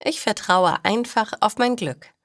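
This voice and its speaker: A woman's voice: mid-range voice, measured pace, no room sound, clean studio sound, pitched above the performer's own voice, lightly acted. A woman voicing a breezy, overconfident teenage schoolgirl in a storybook, carefree and reassuring. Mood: rueful, hopeful.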